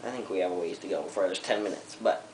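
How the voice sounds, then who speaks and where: Low-voiced young man, candid and hesitant. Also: fast talker, a little mellow, roomy sound, a little hiss or hum. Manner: candid, reflective, hesitant with many ums. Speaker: vlogger. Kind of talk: personal storytelling to camera.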